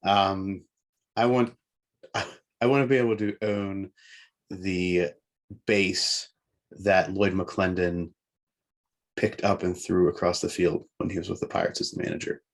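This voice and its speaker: Man, gravelly fry, fairly low pitch, halting delivery, casual sports talk co-host. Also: steady tone.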